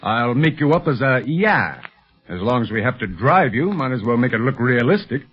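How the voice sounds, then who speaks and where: A man's voice: mid-range voice, big pitch swings, moderate pace, breathy edge. A man playing an assured, good-humoured secret police leader in an old radio serial, authoritative and reassuring. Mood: teasing. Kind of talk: 1930s radio acting. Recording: muffled vintage 1930s radio recording.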